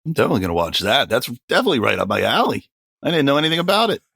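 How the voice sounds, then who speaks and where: A man's voice: medium pitch, big pitch swings, very fast, gravelly, fairly bright tone, husky, dry recording, clean studio sound. A man in his forties co-hosting a podcast, joking bluntly and swearing casually. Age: 40s. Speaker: podcast co-host. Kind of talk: casual chat.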